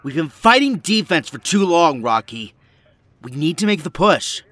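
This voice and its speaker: A man's voice: on the high side, big pitch swings, moderate pace, a bit bright, dry recording. A man acting in an audio drama as a determined, embittered resistance strategist with a serious, pointed manner. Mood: insistent.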